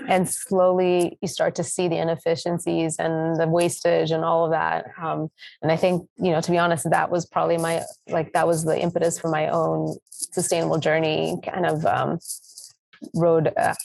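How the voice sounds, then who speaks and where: Thirties woman from Tanzania as a chatty interview guest, slightly low voice, dry close recording. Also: gravelly, darkish tone, no room sound, poor audio. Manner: rambling, many fillers and restarts. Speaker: guest, company founder. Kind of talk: casual interview talk.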